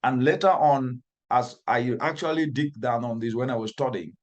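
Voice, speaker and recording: A man with a Cameroonian accent speaks as an interviewed expert at a middling pitch. He tells things earnestly, leaning on repeated phrases to build emphasis. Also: moderate pace, dull audio. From northwestern Cameroon. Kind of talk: earnest interview answers, storytelling.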